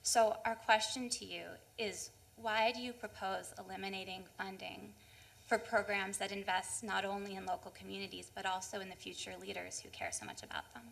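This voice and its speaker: A woman's voice: medium pitch, a little fast, gravelly, a bit bright, a little hiss or hum. An American woman in her early twenties, a student putting a prepared advocacy question at a public forum. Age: early 20s.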